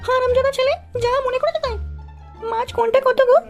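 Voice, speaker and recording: An actor playing a harried village mother in a cartoon, shrill, scolding and nagging. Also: fairly even intonation, fairly quick, vocal fry, faint music behind. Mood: angry, then haggling. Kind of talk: Bengali cartoon acting.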